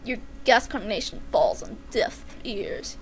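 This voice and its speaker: A woman's voice: fairly high pitch, expressive, a little slow, pitched below the performer's own voice, poor audio. A woman voicing a pompous, melodramatic young male villain pony captain with a grandiose, growling delivery. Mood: menacing, growling. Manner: grandiose, melodramatic, archaic wording.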